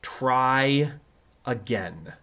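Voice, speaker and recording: A man voicing an exasperated, excitable male doctor coaching speech in a story reading, theatrical and emphatic. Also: medium pitch, drawn-out words. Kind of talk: fan fiction reading with character voices.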